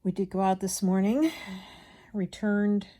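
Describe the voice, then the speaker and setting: A woman chatting casually as she hand stitches, rambling and absent-minded, muttering to herself, with a slightly gravelly voice. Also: mid-range voice, steady tone, unhurried, dark tone. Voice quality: slightly gravelly from a frog in the throat. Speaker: craft vlogger.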